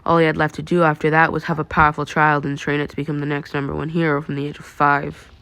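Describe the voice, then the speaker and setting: Woman as stoic teenage boy, guarded, subdued monotone, lowish pitch, matter-of-fact story dialogue. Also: fast talker, vocal fry. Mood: flat, resigned.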